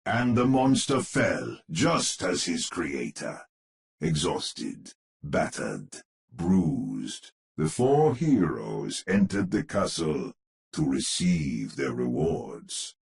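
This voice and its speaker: An actor playing a grave old storyteller, narrating a gothic horror tale for a game in a theatrical, portentous, measured way. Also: male voice, extremely low pitch, sing-song, vocal fry, full character voice. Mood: grave, hushed.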